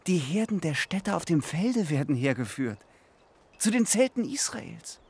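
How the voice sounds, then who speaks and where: A man's voice: fairly high pitch, sing-song, a little slow, darkish tone, slightly rough, heavily acted. A man voicing defiant angry young sons. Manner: defiant, protesting.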